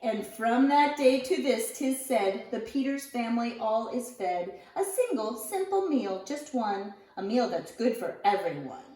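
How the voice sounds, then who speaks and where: A woman reading a rhyming children's picture book aloud in an expressive, theatrical storyteller's manner. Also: a little high, measured pace, few pauses. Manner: theatrical, expressive, with acted sighs and emphasis. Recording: roomy echo, distant microphone.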